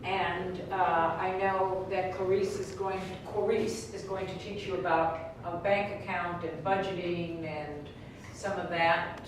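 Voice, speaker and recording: A woman with a somewhat low voice, briefing a group about arrangements. She talks hesitantly, with a lot of fillers and restarts. The recording is far off in a very echoey room with clear background noise. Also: little pitch movement, a little slow. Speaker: program organizer. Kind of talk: informal briefing.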